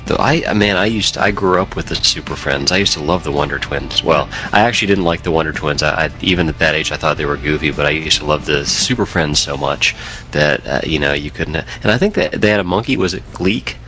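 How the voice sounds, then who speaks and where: A man's voice: extremely low pitch, fairly quick, bright, forceful tone, soft background music. A man with a congested, nasal voice co-hosting a tech podcast, talking with many stutters and restarts. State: stuffy, congested. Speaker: podcast co-host. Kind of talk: casual tech podcast chat.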